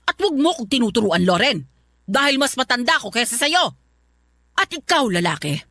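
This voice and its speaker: A stern, bitter mother in a radio drama. Her voice sits fairly high and swings widely as she scolds and lays down the law. The sound is close and dry. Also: very animated, fairly quick, no echo, heavily acted. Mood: angry, bitter. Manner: sharp, scolding, domineering. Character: stern, man-distrusting middle-aged mother, scolding and bitter.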